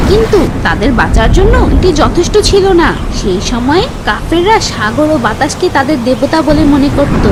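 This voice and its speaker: A woman's voice: sits high, heavy background noise. A woman reading a scripted religious story for children in a teacherly manner, as a storyteller. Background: music.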